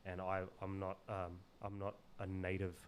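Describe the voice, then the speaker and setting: A man from Zimbabwe with an Australian accent, talking earnestly and haltingly in casual podcast conversation, often repeating words as he searches for phrasing. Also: low voice, completely flat delivery, a little hiss or hum. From Zimbabwe, moved to Australia as a child. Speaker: podcast guest.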